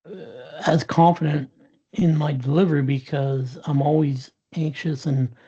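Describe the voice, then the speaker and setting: A man's voice: a little high, fairly even intonation, a little slow, warm tone, muffled recording. A man speaking as an interview guest, telling a candid story with frequent restarts and fillers over a video call. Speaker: interview guest, sales professional.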